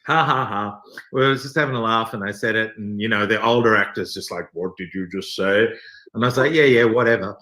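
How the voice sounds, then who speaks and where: Bright-voiced man in an echoey room. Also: on the low side, brisk. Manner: jokey, self-deprecating. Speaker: interview guest, theater actor. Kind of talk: animated anecdotal storytelling in casual chat. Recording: roomy, echoey sound.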